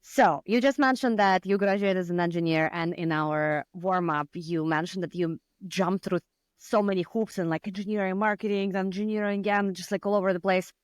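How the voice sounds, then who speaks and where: A woman's voice: mid-range voice, normal speed, clear, resonant tone, no echo. A woman hosting a podcast, chatting casually with a guest and laughing often. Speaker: podcast host. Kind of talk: casual interview chat.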